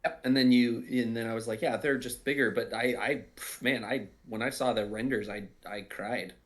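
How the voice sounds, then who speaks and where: A man giving casual, unscripted commentary as a writer and cast member, retelling things with animated quoted asides. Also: medium pitch, normal speed.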